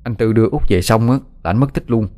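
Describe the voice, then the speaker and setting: A man's voice: on the low side, fast talker, darkish tone, lightly acted. Man playing a hesitant, caring older sibling. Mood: subdued. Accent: Southern Vietnamese. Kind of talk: dramatised audio story narration.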